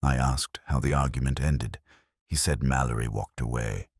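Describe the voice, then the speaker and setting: A man narrating a first-person detective story in a measured, brooding, literary manner. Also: fairly even intonation, breathy edge, no room sound, clean studio sound. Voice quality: very deep.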